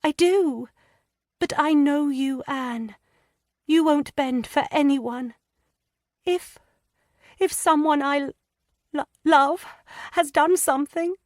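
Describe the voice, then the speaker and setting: A woman voicing an anxious, tearful young wife in an audiobook, pleading and stammering in a high, breathless voice. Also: slow pace, pauses often, a little mellow, no echo, studio quality. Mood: distressed. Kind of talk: audiobook character voice.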